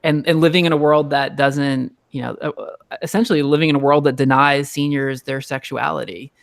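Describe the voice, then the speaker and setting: A man hosting a casual panel discussion, talking in an animated, ranting way. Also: fairly high pitch, brisk, gravelly. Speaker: host.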